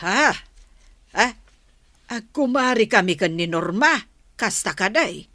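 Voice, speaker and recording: A woman's voice: medium pitch, expressive, slow, sharp tone, no room sound, clean studio sound. A woman playing a proud, skeptical middle-aged village mother in a radio drama, with an Ilocano accent.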